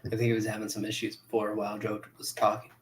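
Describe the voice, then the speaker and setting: A man's voice: fairly low pitch, monotone, fast, a little hiss or hum. A man hosting a live podcast panel, chatting casually as he moderates.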